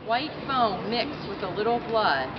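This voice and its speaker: A woman reading a novel aloud at an outdoor public gathering, declaiming it in short phrases with a pause after each. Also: medium pitch, expressive. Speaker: reader at a public read-in. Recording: dull outdoor recording with loud crowd. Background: loud crowd, urban outdoor noise.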